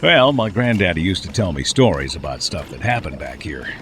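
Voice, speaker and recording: A man acting in an audio drama as a gruff but folksy farm owner who tells things like a storyteller. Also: low-pitched, big pitch swings, brisk, a bit bright, slight background noise. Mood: hesitant, uneasy.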